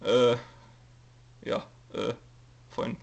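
A young man who has a cold, hesitantly rambling through a casual channel update with lots of fillers and jokes. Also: very slow. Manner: hesitant, many fillers, reads from a note, jokey. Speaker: YouTube let's player giving a channel update. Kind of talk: casual rambling info video.

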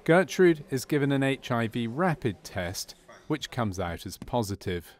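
A man narrating a medical training film from a script, in a measured, clinical and factual way. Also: mid-range voice, big pitch swings. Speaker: documentary narrator.

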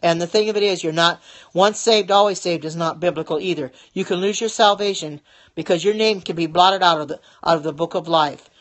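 Older lady preaching on a phone live stream, pleading, kinda low voice, going a bit hoarse. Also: fairly quick. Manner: earnest, pleading, repetitive with many restarts. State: getting hoarse. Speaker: lay preacher broadcasting live. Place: small room indoors. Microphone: phone held below face.

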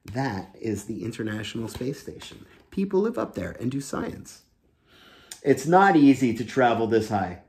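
An animated man reads aloud to children like an eager teacher, pausing to explain things in simple words. His voice sits at an ordinary pitch but swings up and down a lot. He is recorded at home in a room. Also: a little hiss or hum. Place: small home room indoors.

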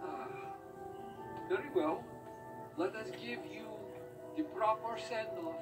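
A man playing a middle-aged reformed supervillain boss in an animated film, with a theatrical, deliberate delivery. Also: high-pitched. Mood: solemn, grand. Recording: distant screen capture under loud film music.

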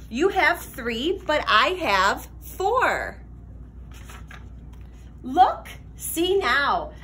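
A young woman reading a children's picture book aloud like a teacher, expressive, sing-song and deliberate. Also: high voice, slight background noise. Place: classroom, indoors.

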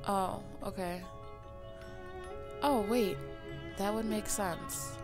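A woman commentating on her let's play, a little raspy from just waking up, playful and mock-outraged at the game. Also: medium pitch, extremely slow. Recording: game music loud under her voice.

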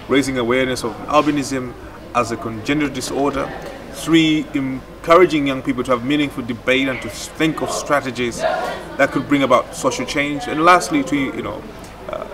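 A man in his thirties speaking as a project leader in a documentary interview, explaining point by point. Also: mid-range voice. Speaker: project leader of an educational theatre campaign. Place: outdoors in a garden by a brick building. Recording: distant mic, outdoor crowd noise behind.

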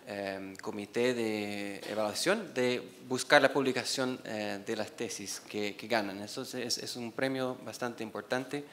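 A non-native man in his forties reads out award nominees at a slow, unhurried pace. He hesitates, fills pauses and restarts words as he stumbles over names. His voice is picked up by a desk microphone at a panel table in an auditorium. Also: on the low side, a bit bright. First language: not Spanish. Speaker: award presenter. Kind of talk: reading award nominees at a conference. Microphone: desk microphone on a stand.

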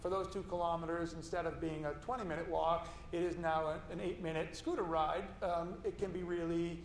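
A man's voice: a little high, fairly even intonation, fairly quick, far from the mic, a little hiss or hum. Emphatic man panelist in a roomy hall. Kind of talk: panel discussion. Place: conference stage, indoors.

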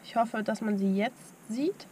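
A woman's voice: fairly high pitch, measured pace. A woman, chatting casually to a camera inside a small camper van. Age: 20s. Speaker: travel vlogger. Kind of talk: casual vlog chat to camera. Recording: very noisy camera audio with clicks.

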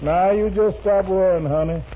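A man playing a boastful, slippery, smooth-talking boyfriend in a 1950s radio comedy, cocky and wheedling. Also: sits high, normal speed, some chatter behind. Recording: thin, narrow, hissy 1950 radio recording.

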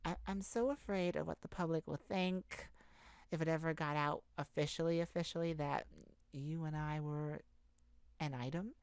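A woman acting an exhausted, anxious pop star confiding haltingly in a bodyguard lover, in an intimate ASMR roleplay. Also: deep voice, steady tone, darkish tone, clear, resonant tone, no room sound. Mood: anxious, torn. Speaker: audio roleplay performer.

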